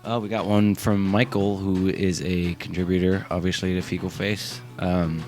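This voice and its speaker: A man's voice: low-pitched, flat delivery, normal speed, darkish tone, faint music behind. A man from Ohio hosting a casual interview, easygoing and stumbling a little as he reads out listener questions.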